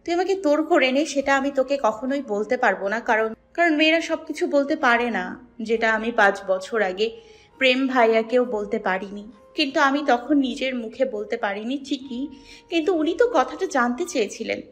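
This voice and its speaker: A woman's voice: on the high side, brisk, natural voice. A woman playing a hurt, resentful young woman in a plaintive, dramatic audio-story monologue. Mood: wounded, bitter. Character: hurt, resentful young woman nursing an old rejected love.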